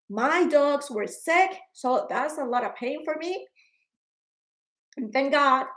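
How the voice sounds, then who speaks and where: A woman in her forties speaks to the camera in a personal video diary, her voice slightly higher than most. She talks earnestly and emotionally, doubling back on words and rising in energy when something frustrates her. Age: 40s. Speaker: vlogger. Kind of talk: personal vlog talking to camera.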